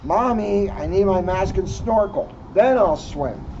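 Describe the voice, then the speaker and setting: A man's voice: high-pitched, lively intonation, a little slow, a little mellow. Man playing a whiny little boy frog. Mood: whiny, pleading. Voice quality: whiny, spluttering. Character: young boy frog, whiny, reluctant then excited. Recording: muffled outdoor recording, background noise.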